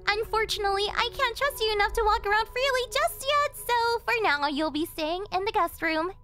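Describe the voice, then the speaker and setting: Casting: obsessive yandere kidnapper girl, audio roleplay. Very high, animated, sugary and possessive, theatrical teasing. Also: moderate pace, exaggerated voice. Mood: calm, condescending. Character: obsessive yandere young woman, cheerful cafe server turned kidnapper. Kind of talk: yandere ASMR roleplay acting, anime-style. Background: music.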